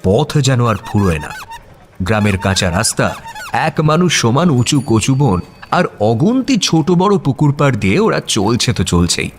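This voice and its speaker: A male narrator reads a scripted story expressively, with a mid-range voice. His intonation rises and falls widely, and soft music runs underneath. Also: medium pitch, very animated, normal speed.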